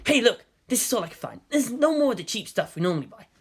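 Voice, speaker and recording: A man's voice: very high-pitched, sing-song, fast talker, slightly rough, pitched above the performer's own voice, exaggerated voice. A man voicing an exasperated, wisecracking younger male agent in a story reading, casual and complaining. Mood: exasperated complaint.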